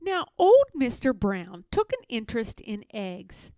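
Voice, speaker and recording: A woman reading a children's story aloud as an expressive narrator. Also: on the high side, a little slow, dry recording. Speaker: storybook reader.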